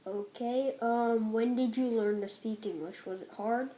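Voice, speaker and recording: Slow, high, soft-edged female interviewer. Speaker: interviewer for a school project. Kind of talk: reading prepared interview questions.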